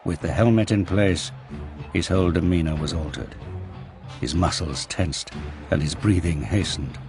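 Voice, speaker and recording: A man's voice: deep voice, normal speed, over music. A man narrating an audio drama in a dramatic, scripted storytelling style. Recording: clear background noise and ambience.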